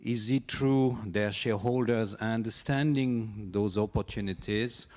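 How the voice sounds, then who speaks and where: A man in a conference audience putting an unscripted question to a panel, listing alternatives in a measured way. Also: lowish voice, darkish tone, in a large hall. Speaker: audience member asking a question.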